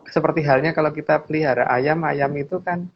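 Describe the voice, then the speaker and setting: A man's voice: a little high, steady tone, a little fast. Middle-aged man, dull noisy recording. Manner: repeats phrases while explaining, adds frequent tags seeking agreement. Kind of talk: casual discussion, explaining opinions. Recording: dull lo-fi audio, clear background noise.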